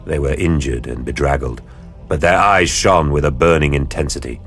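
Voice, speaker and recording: A male narrator tells a scripted audio drama in a low voice. His delivery is measured and grave. Music plays underneath. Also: a bit bright, slightly rough.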